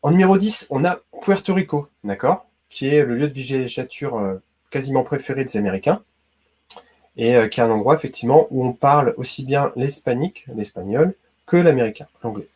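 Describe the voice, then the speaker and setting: A man's voice: mid-range voice, fairly quick, a little mellow, husky. A man from Brittany hosting a casual quiz video, chatty and talking straight to the viewer with lots of "hein" and "d'accord". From Brittany, France. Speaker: YouTube host.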